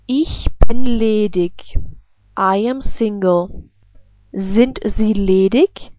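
A woman giving a scripted language lesson as a teacher, reading example phrases with careful, deliberate enunciation. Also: medium pitch, no room sound, muffled recording.

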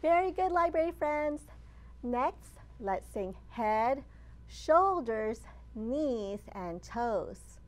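High-pitched woman, slow children's storytime host. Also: sing-song. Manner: simple short phrases addressed to young children, encouraging. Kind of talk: children's storytime hosting and read-aloud. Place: indoors in a library children's area.

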